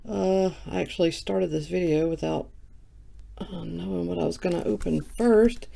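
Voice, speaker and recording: A woman who has just woken up, with a low morning voice, chatting casually while opening trading cards on camera. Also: moderate pace, creaky, mellow. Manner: chatty and self-mocking, reading off card names. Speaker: card breaker. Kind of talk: casual card-opening vlog.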